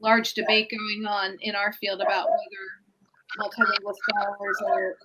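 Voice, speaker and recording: A woman's voice: medium pitch, monotone, unhurried, very noisy. A woman academic answering interview questions on a video call, explaining fluently and thinking aloud as she goes. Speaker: author being interviewed.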